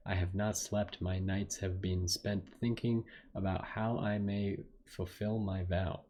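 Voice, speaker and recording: A man's voice: lowish voice, darkish tone. A man doing casual video game commentary and reading on-screen text aloud, muttering asides and stumbling over words.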